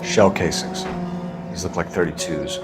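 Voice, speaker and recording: Deep-voiced, stern American police officer. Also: male voice, fairly even intonation, measured pace, loud music behind the voice. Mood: terse, observant. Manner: clipped, authoritative, formal. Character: stern young male police officer turned investigator, forceful when questioning. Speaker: police officer character in a detective game. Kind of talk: dramatic video game acting, 1940s crime drama.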